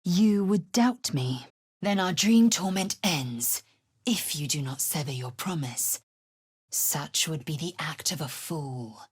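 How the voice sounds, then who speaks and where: Haughty alien fighter lady for a game, deep raspy voice, bossy and curt. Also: lively intonation, takes it slowly, darkish tone, heavily acted. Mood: cold, threatening. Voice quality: raspy, husky. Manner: clipped, imperious, disdainful. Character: fierce, haughty young female alien warrior. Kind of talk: video game character acting.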